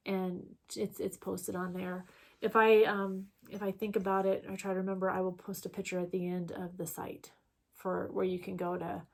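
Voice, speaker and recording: A woman's voice: medium pitch, little pitch movement, normal speed, vocal fry, a little mellow. A woman, co-hosting a casual stitching chat, rambling and chatty with plenty of fillers. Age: 40s. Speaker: co-host.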